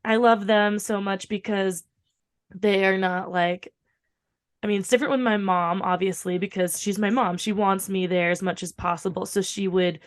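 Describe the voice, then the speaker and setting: A woman with a slightly hoarse, recovering voice, chatting casually and informally as a podcast co-host, with some swearing. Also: mid-range voice, fairly even intonation, normal speed. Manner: rambling, informal, frequent swearing. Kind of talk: casual chat.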